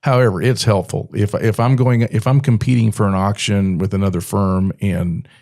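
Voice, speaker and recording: A man's voice: lowish voice, fairly even intonation, moderate pace, darkish tone. A man talking casually as a podcast guest, in a folksy, plainspoken way. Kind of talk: casual podcast conversation, anecdotes.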